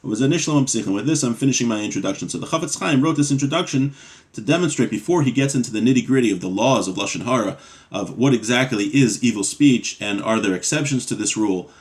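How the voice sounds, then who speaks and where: A man's voice: medium pitch, fast. A man teaching a weekly religious study class. He reads passages in Hebrew, then translates and explains them in conversational English, often checking in with "right?" and "you know.".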